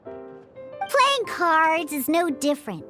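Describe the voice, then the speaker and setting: A woman's voice: very high-pitched, sing-song, extremely slow, pushed and bright, soft background music, heavily acted. An actress voicing a sassy, opinionated little cat-girl bartender in a video game, brash, bossy and given to lecturing. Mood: encouraging, lecturing. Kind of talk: English video game voice acting.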